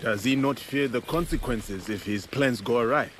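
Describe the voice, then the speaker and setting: An actor playing a grave, principled warrior in feudal Japan for a video game, with measured, formal speech. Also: male voice, medium pitch, a little hiss or hum, natural voice. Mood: probing, measured. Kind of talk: dramatic video game acting. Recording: music behind the voice.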